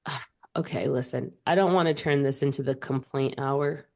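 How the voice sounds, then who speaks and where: A woman's voice: extremely low pitch, fairly even intonation, normal speed, creaky, darkish tone. Chatty woman with a lingering cold. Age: middle-aged. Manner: chatty, lots of "like", rambling storytelling. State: recovering from a cold, voice not fully back. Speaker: podcast co-host. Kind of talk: casual chat over a video call. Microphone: remote call mic.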